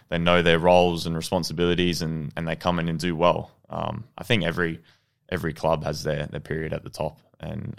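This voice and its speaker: A young Australian man with a very deep voice and a gravelly, creaky edge. He tells his story in a relaxed, rambling way, full of ums and restarts, and laughs easily. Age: 20s. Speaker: podcast guest, goalkeeper. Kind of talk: casual interview storytelling.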